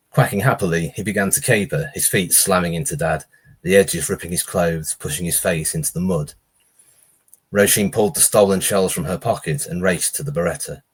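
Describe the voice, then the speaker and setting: A man's voice: sits low, breathy edge. A man who is an author, giving a live reading of his own pulpy horror story.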